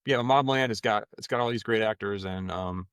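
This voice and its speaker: A man hosting a casual podcast, talking in a relaxed, rambling way and swearing now and then. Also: lowish voice, a little fast, clean tone, no echo, clean studio sound. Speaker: podcast host. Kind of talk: casual chat.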